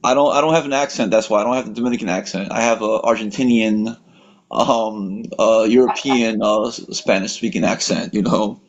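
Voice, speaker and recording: An American-born man chatting casually on a video call, rambling with lots of fillers and relaxed slang. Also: on the low side, a little fast. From United States. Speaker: workshop participant. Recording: dull lo-fi call audio.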